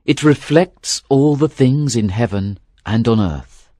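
A man's voice: mid-range voice, expressive, slow pace, warm tone. A man voicing a frightened temple priest who pleads and then explains earnestly. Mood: explaining.

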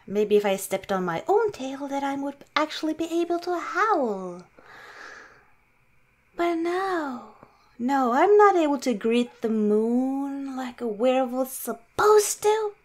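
High-pitched, hesitant werewolf girlfriend, acted by a woman. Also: big pitch swings, full character voice. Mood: self-deprecating. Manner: halting, hesitant, self-mocking. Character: shy, insecure young female werewolf girlfriend, sweet and awkward. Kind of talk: improvised ASMR roleplay.

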